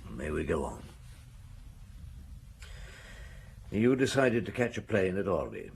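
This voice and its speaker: An actor playing a calm, probing police chief inspector in a radio drama, asking terse, persistent questions in a measured way. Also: male voice, low-pitched, vocal fry, husky, heavily acted.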